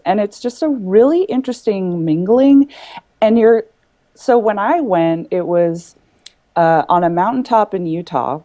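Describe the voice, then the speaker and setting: A woman's voice: medium pitch, mellow, dry recording. A woman in a casual group conversation, explaining things earnestly as a panelist.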